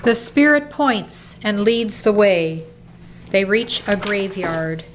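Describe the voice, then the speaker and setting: A woman narrating a radio play as a measured, solemn storyteller, reading from a script. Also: mid-range voice, a little hiss or hum, natural voice, low-quality recording. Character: storyteller of a ghostly Christmas tale, measured and solemn.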